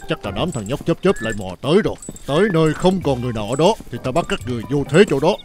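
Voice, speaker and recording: Man playing a fast, grumpy cartoon mad scientist. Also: medium pitch, expressive, a little mellow, close to an everyday voice. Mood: irritated. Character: grumpy middle-aged villain mad scientist, bossy, suspicious. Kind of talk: animated cartoon dubbing. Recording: music behind.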